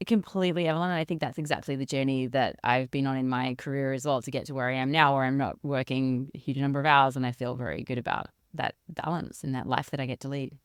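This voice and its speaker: A woman's voice: extremely low pitch, fairly even intonation, quick pace, hardly any pauses, smooth, no echo, clean studio sound. An Australian woman in her forties, talking casually and conversationally as an interview guest. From rural South Australia. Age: 40s. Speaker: interview guest, leadership consultant and researcher.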